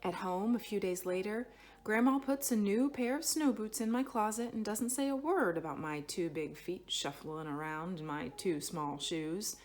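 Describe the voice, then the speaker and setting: A woman reading a children's picture book aloud expressively, with dramatic phrasing that stretches some words and hushes others. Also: mid-range voice, moderate pace. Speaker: storybook reader.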